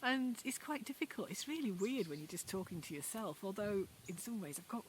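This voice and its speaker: A middle-aged woman from Yorkshire, speaking with her broad regional accent in an ordinary mid-range voice. She talks unscripted to the camera while sitting in a garden outdoors, thinking aloud in a loose, meandering way. A faint hush of outdoor air sits behind her. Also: medium pitch, animated, a little fast, slight background noise. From Yorkshire, England. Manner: reflective, musing, loosely rambling with hesitations. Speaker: vlogger. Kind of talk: casual unscripted talk to camera.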